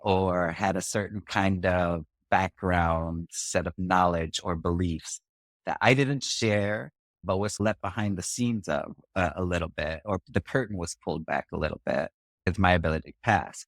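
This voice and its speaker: A middle-aged man from Singapore, a podcast guest telling his story in a measured, reflective way with frequent fillers. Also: sits low, dry recording. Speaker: podcast guest, community organizer. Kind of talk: conversational storytelling interview.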